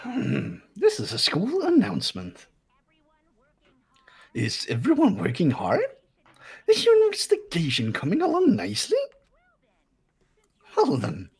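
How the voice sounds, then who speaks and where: A man's voice: high voice, a little mellow, slightly rough, heavily acted. A man voicing a sinister, teasing robot bear headmaster with mock-generous glee in a video game readthrough. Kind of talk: amateur game voice acting.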